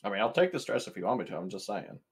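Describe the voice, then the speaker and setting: A man with a low voice plays in a tabletop game. His talk is casual and wry, moving between jokes and probing questions. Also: big pitch swings, very fast, husky. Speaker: player in tabletop role-playing game.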